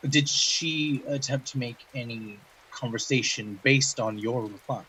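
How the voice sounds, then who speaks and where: A male interviewer with a crisp-edged, bright voice of middling pitch. He questions formally and methodically in a clinical audio drama manner, over a faint wash of rain-like background noise. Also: a little slow, rough recording. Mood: attentive, measured. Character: measured, probing male interviewer examining a dreamer.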